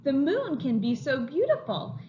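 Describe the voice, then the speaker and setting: A timid, gentle young giraffe from a children's storybook, as a woman reading aloud puts him on, with a high, soft voice and a slow, careful way of speaking. The sound is dull and lo-fi, with faint hiss behind. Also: big pitch swings. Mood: wistful.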